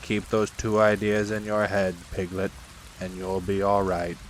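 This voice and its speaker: A man doing a slow, low, gloomy old donkey. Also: slight background noise, close to an everyday voice, poor audio. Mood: morose. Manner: slow, dreary, deadpan. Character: gloomy old male donkey, dryly sarcastic pessimist. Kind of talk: children's storybook character voice.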